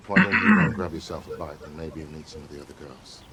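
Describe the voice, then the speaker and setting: An actor playing a soft-spoken, consoling older man in charge at a girls' residence, speaking in a measured, gentle way in a film-style game. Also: very deep voice, wildly swooping pitch, full character voice. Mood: polite, welcoming. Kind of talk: naturalistic screen acting in an interactive film game. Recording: game audio under loud noise and clicks.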